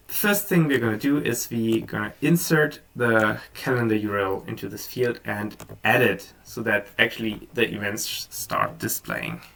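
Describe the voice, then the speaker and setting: A German man with a gravelly, creaky voice walks through a screen-recorded software tutorial in a relaxed, unscripted way, full of ums and small restarts. He sits a bit back from the microphone, so his voice has some distance to it. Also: on the low side.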